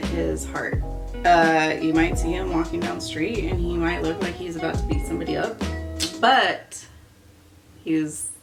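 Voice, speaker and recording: A woman's voice: lowish voice, steady tone, unhurried, gravelly, recorded from a distance, over music. A woman in her late twenties co-hosting a casual couple's Q&A, reading out the questions and teasing her husband. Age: late 20s. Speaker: co-host of a couple's channel.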